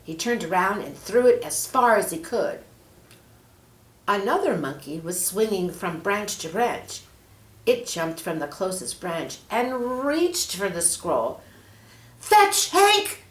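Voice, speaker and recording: A woman reading a children's story aloud expressively, measured and leaning on key words. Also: medium pitch, animated. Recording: echoey room.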